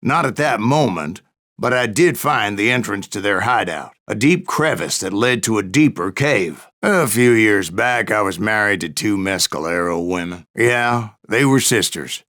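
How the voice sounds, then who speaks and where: A man playing a grizzled old American gunslinger in a video game, telling tall tales with a folksy, wry, gravelly drawl. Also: lowish voice, lively intonation, a little slow, fairly bright tone. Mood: wry, cantankerous. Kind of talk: video game character narration.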